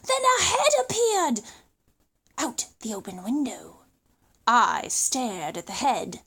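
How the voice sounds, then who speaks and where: A woman reading a children's story aloud expressively, with dramatic emphasis and suspenseful pauses. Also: a little high, wildly swooping pitch, fairly bright tone, slightly rough.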